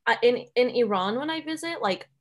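A woman's voice: a little high, animated, fairly quick. A young woman co-hosting a podcast, talking in a chatty, filler-heavy way with frequent giggles. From United States. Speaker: podcast co-host. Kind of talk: casual chat.

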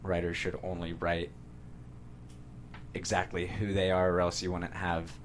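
A man's voice: sits low, completely flat delivery, unhurried, a little hiss or hum. An American man, a writer being interviewed, explaining his thinking casually and thoughtfully with some hedging. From San Diego, USA.

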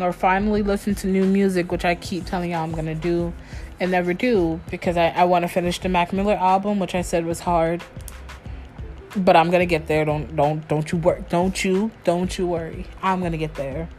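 Woman rambling on her own show, lowish voice, faint music behind. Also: darkish tone. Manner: loose, chatty, with frequent restarts and slang. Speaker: podcast host. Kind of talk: casual solo chat.

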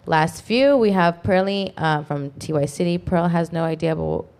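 A woman co-hosting an online talk show, reading viewer comments aloud quickly, with frequent fillers. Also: on the low side, very animated, darkish tone. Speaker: co-host reading super chats. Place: online video podcast studio.

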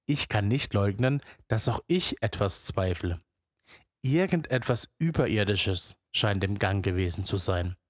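A male storyteller narrating in the first person, unhurried and deliberate, musing as if living the scene. His voice sits in a mid register. The recording is dry and close, with no room sound. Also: lowish voice, lively intonation, no room sound.

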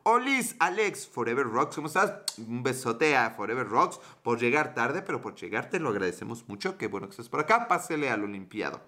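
A man's voice: medium pitch, big pitch swings, fairly quick, hardly any pauses, bright, forceful tone. A man with a Mexican accent chatting casually on a livestream, greeting viewers and joking around with crude slang. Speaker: streamer. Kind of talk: casual livestream chat, reading viewer comments.

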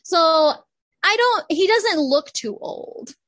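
A young woman co-hosting a casual podcast chat, animated, laughing often and acting out quotes. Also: very high voice, slow, no echo. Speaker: podcast co-host.

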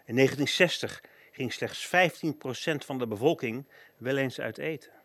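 A man's voice: mid-range voice, normal speed, fairly bright tone, dry recording. A man narrating a scripted documentary-style radio play, presenting background facts as a storyteller.

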